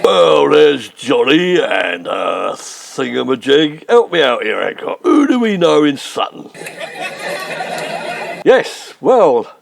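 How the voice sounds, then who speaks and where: A man's voice: a little high, huge pitch swings, takes it slowly, creaky, long pauses, no room sound, full character voice. An actor playing a pompous, sarcastic, snobbish English man, blustering and mock-reassuring in an old-fashioned radio sitcom style.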